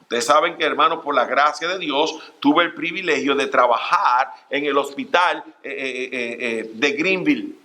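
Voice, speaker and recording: A middle-aged man preaching a church sermon, earnest and direct, calling his listeners brothers and pausing on fillers. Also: mid-range voice, sharp tone. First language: Spanish. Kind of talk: Spanish-language church sermon. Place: church sanctuary.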